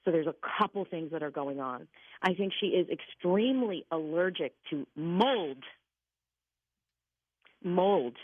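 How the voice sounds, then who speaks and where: A woman's voice: on the low side, animated, unhurried, pauses often, no room sound. Phone-line woman, reassuring psychic guest. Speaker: guest spirit medium on a radio call-in show. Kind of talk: conversational psychic reading.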